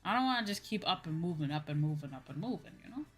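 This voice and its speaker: Low-pitched young woman chatting over music. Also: lively intonation, fast talker, a bit bright, slightly rough. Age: young adult. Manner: rambling, informal, repeats phrases for emphasis. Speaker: VTuber livestream host. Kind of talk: casual livestream chat. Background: background music.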